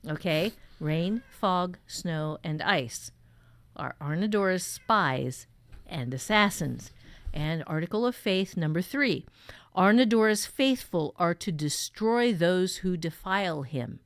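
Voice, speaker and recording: A woman's voice: on the low side, expressive, slow. Female workshop teacher and co-host; conversational, explanatory, stresses and repeats key points. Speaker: fiction writing teacher and co-host.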